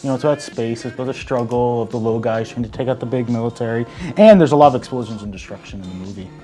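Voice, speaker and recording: A man's voice: medium pitch, very fast, warm tone, faint music behind. A man arguing his points as a panelist in a casual chat show. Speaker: debate panelist.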